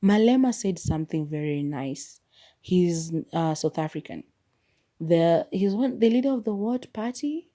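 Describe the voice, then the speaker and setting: A woman's voice: lowish voice, animated, measured pace, warm tone. A young woman hosting a solo podcast, speaking her mind candidly and mocking what others say. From Kenya. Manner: opinionated, candid, mock-quotes people. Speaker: podcast host.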